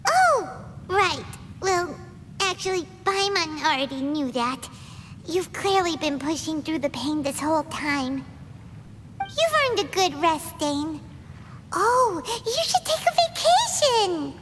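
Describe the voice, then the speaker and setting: A woman voicing a tiny, excitable, fretful fairy companion with a squeaky childlike voice in a video game. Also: slow, background noise, exaggerated voice. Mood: earnest, chirpy. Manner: exclaiming, refers to self in third person. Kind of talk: video game cutscene acting. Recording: long reverb, music behind.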